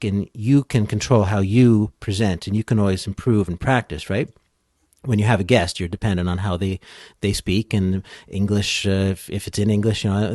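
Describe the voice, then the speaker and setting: A man giving casual, informal expert explanations as a podcast guest, thinking out loud as he goes. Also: lowish voice, no echo, clean studio sound. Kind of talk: casual explanatory interview talk.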